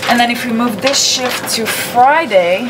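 A woman's voice: a little high, measured pace, heavy background noise, close to an everyday voice. A woman playing a practical working mother on a restaurant staff in a comedy sketch, casual and matter-of-fact.

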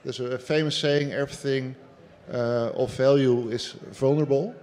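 A man from the construction industry speaking on a seminar panel in a large hall, measured and emphatic. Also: medium pitch, fairly even intonation, a little mellow. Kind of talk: panel discussion.

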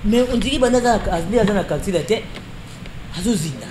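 A woman hosting an interview, animated and quick with interjections, mixing two languages. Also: mid-range voice. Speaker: interviewer host. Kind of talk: lively interview chat. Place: studio set with wooden walls, indoors. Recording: echoey room with clear background noise.

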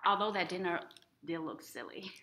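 A young woman reading a children's picture book aloud in an expressive, deliberate storytelling style, adding short explanatory asides. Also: lowish voice. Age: young adult. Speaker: library storytime reader. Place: indoors in front of a painted woodland mural.

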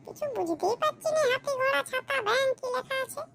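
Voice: lively intonation, quick pace, gravelly, very noisy, heavily acted. An actor voicing a cheeky, grumbling schoolboy in a comedy cartoon, with a childlike, colloquial way of talking. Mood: dismayed. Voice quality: high-pitched, childlike. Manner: colloquial, grumbling, mildly foul-mouthed. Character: cheeky grumbling schoolboy, unprepared for exam, scheming to cheat.

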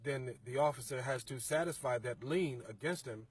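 A middle-aged man with a husky, somewhat breathy voice talks in a measured, explanatory way, sometimes restarting his sentences. He sits in the passenger seat of a car and is picked up by the interviewer's phone. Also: medium pitch, no room sound. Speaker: interview guest, organization representative. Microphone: phone camera held by the interviewer.

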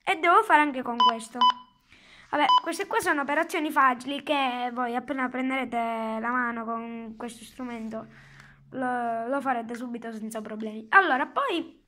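Casual product reviewer, high voice, unhurried halting explanations. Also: bright, forceful tone. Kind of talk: casual hands-on product demonstration. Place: indoors at a table at home. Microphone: handheld phone.

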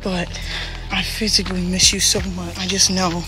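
A woman's voice: on the low side, little pitch movement, moderate pace, music in the background, rough recording. A young woman talking to her phone on a forest trail, chatty and reflective, a little out of breath from hiking. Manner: chatty, playful and reflective, talks while walking. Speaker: vlogger. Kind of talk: casual hiking vlog to camera. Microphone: phone held at arm's length.

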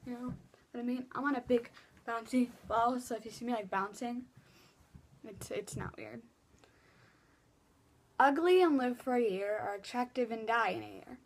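A teenage girl talks in a fairly high voice, rambling and chatty, full of "um" and "like". She sits in a small bedroom in front of a laptop webcam, with a faint hiss under her voice. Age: teens. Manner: chatty, rambling with many fillers and restarts. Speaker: vlogger. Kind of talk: casual Q and A vlog. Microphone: laptop webcam mic.

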